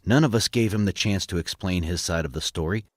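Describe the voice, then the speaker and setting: A man voicing an earnest, patient and principled man in an audio drama, explaining himself in a measured, formal way. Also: low-pitched, no echo, clean studio sound. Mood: earnest, subdued.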